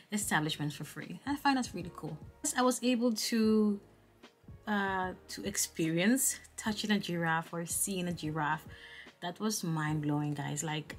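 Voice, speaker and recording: A young woman from the Dominican Republic tells a travel story straight to her viewers in a casual, expressive way. She restarts her sentences often and leans on fillers. Soft music plays faintly behind her. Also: lowish voice. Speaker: travel vlogger.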